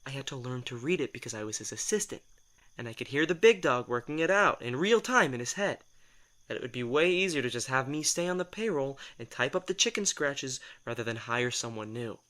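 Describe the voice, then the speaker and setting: A man's voice: medium pitch, expressive, a little fast, sharp tone. A man acting in an audio drama as a sardonic, exasperated assistant who reads minds, talking in a wry, defensive, rambling conversational way. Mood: matter-of-fact. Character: sardonic, exasperated male telepath, former personal assistant to a writer.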